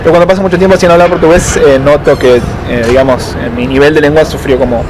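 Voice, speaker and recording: A man's voice: fairly high pitch, steady tone. Thoughtful man, outdoors by traffic. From Argentina. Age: 30s. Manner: thoughtful, hesitant, many fillers and slow pauses. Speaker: interviewee, doctoral student. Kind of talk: casual interview. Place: outdoors in a city. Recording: outdoor street noise.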